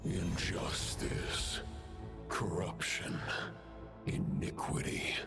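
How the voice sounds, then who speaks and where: An actor playing an ancient, scornful, imperious male wolf spirit in a video game, speaking gravely in archaic phrases with a gravelly voice. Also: deep voice, little pitch movement. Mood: scornful, solemn. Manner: grave, archaic, slow delivery. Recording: music behind the voice.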